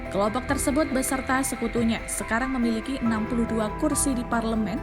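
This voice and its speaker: A woman with an Indonesian accent reads a scripted news explainer in a formal, measured manner. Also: fairly high pitch, fairly even intonation. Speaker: news narrator. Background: background music.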